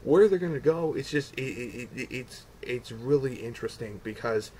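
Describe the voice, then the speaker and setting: Male, casual fan talk, average pitch. Stumbling, repetitive, sweary delivery on a noisy amateur recording. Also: lively intonation, normal speed, darkish tone. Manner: repetitive, stumbling over words, often swearing. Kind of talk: casual fan chat about comic book films. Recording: noticeable background noise, amateur recording.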